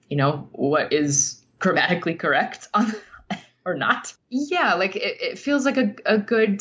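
A woman being interviewed as a writer, speaking in a thoughtful, halting, reflective way. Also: on the low side, fairly bright tone, highs cut off.

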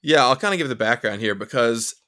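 A man's voice: mid-range voice, expressive, fairly quick, pushed and bright, dry recording, clean studio sound. A man in his thirties, a podcast guest telling stories in a chatty, joking way. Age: 30s. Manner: chatty, jokey storyteller. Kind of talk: casual chat. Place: Seattle.

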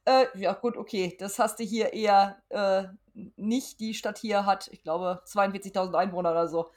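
Chatty woman podcaster, medium pitch. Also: fairly even intonation, moderate pace, darkish tone. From Germany. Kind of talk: casual podcast chat.

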